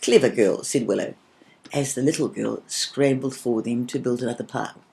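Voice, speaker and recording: A woman's voice: rumbling low, fairly even intonation, normal speed, creaky, a little mellow. A woman narrating a scripted children's story as a storybook reader.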